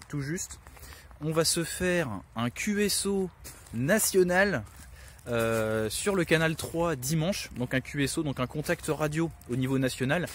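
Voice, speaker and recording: A man's voice: medium pitch, a little hiss or hum. A man hosting his own channel, giving casual spoken announcements while on the road, hesitant and full of filler sounds. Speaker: survivalist channel host. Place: in a vehicle on the road.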